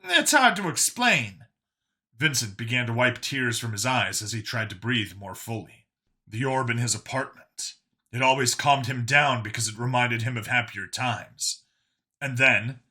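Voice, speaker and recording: A man's voice: medium pitch, moderate pace, sharp tone. A man reading a story aloud as a dramatic scripted narration. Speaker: audiobook narrator.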